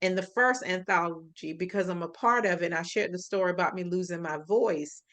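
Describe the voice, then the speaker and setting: A woman's voice: lowish voice, a little fast, fairly bright tone. A middle-aged woman hosting a casual interview, talking expressively and laughing easily. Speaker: host.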